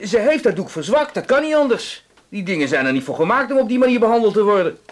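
An indignant cinema owner in a radio play, high-voiced and swooping. He stammers, repeats himself and grumbles with wounded self-pity. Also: very animated. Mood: flustered, defensive. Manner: stammering, halting, repeating words. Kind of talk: radio drama acting.

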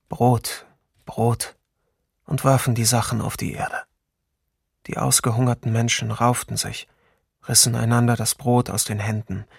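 An actor playing a male eyewitness in a documentary radio play, soberly recounting wartime atrocities in measured narration. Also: medium pitch, vocal fry, slightly rough, no room sound, clean studio sound.

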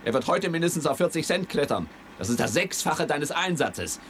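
An actor playing a cocky, persuasive commodities broker in a patronising, grandiose manner, in a 1970s German radio play. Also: male voice, fairly high pitch, big pitch swings, moderate pace, fairly bright tone, slight background noise. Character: slick, cocky male commodities broker talking up quick riches.

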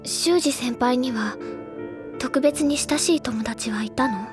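A woman playing an earnest, somewhat childish high-school girl of a literary bent in an audio drama. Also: slow pace, music in the background. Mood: pensive, probing. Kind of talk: anime audio drama dialogue.

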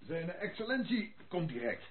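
A high, swooping voice makes a pompous, jovial captain. He draws out his words hesitantly in an old radio play that sounds thin and tinny. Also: very animated, normal speed, slight background noise. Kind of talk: Dutch radio play acting.

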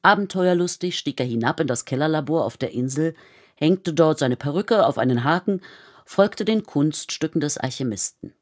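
An actress playing a composed, observant storyteller, narrating a poetic radio drama in a measured literary manner. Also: female voice, deep voice, animated. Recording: studio radio drama with music. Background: prominent music with strings.

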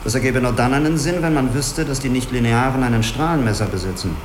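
An actor in a radio drama playing a skeptical, probing spaceship commander in a terse, controlled manner. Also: male voice, mid-range voice, fast, slight background noise, natural voice. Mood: cool, questioning. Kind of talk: German radio play dialogue and log.

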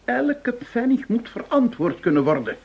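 An actor in a Dutch radio play playing a stiff, indignant, penny-pinching government finance official with a pompous, prim way of speaking. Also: male voice, very high voice, very animated, a little slow, vocal fry, a little hiss or hum, full character voice. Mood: stern, grudging. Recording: dull, muffled radio drama recording.

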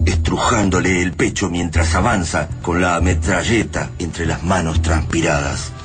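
A man's voice: low-pitched, fairly even intonation, unhurried, over music. A man with an Argentine accent narrating a radio drama in a grave, suspenseful, dramatic manner.

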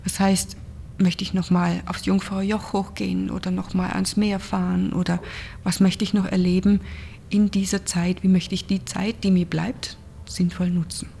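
A woman's voice: medium pitch, flat delivery, normal speed, vocal fry, mellow, a little hiss or hum. A woman working in ward care, explaining plainly in an interview and posing rhetorical questions. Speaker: care professional on a hospital ward.

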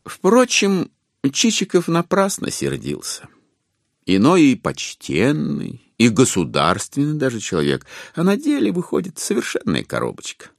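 An actor playing a wry, knowing male storyteller, narrating a classic literary audio drama in a gravelly voice. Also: mid-range voice, no echo, studio quality.

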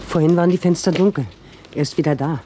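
A woman's voice: sits low, fast, close to an everyday voice. Actress as guarded farm woman, car noise behind. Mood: tense. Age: middle-aged. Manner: terse, guarded, plain rural phrasing. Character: stubborn, tight-lipped farm woman in her mid-forties. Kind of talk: German radio play dialogue. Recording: loud vehicle and outdoor noise behind.

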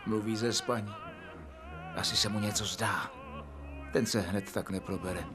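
A man's voice: lowish voice, steady tone, natural voice. An actor playing a male doctor who assists a professor, earnest and questioning, in a radio drama. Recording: radio drama with music behind.

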